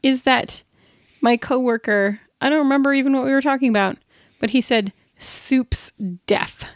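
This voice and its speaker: Woman, thirties, high voice, casual chat, giggly storytelling, podcast co-host, dry recording. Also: moderate pace, dry recording. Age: 30s.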